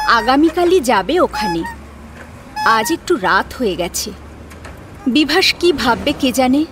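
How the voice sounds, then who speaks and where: A woman narrating a dramatic audio story in an expressive storytelling style. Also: high voice, slight background noise. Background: music.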